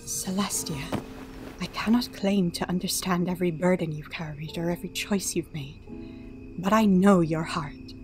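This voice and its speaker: A woman playing a solemn, devoted pony princess in a radio drama, with a formal, measured, earnest delivery. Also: lowish voice, a little mellow, soft background music, close to an everyday voice. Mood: earnest, consoling. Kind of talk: dramatic radio play acting.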